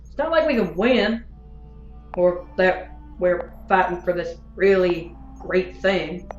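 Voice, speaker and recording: A woman's voice: lowish voice, animated, takes it slowly, a little mellow, soft background music. Woman acting a flippant, needling cynic, muffled and roomy. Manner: flippant, teasing, prodding. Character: bored, needling, cynical hired war commentator. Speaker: actor in an audio drama. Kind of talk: audio drama acting.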